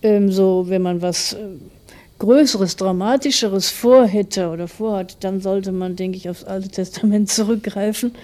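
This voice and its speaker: A woman's voice: mid-range voice, steady tone, unhurried, dark tone. A middle-aged woman giving a reflective interview as a writer, thoughtfully explaining her views in long, winding sentences.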